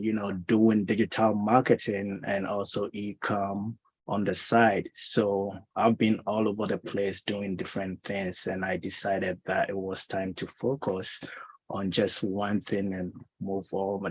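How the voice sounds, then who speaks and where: A man from Ghana with a Ghanaian accent, introducing himself and his work off the cuff in a halting way. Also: low-pitched, flat delivery, husky. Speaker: digital marketer and former IT consultant. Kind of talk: unscripted self-introduction in a meeting.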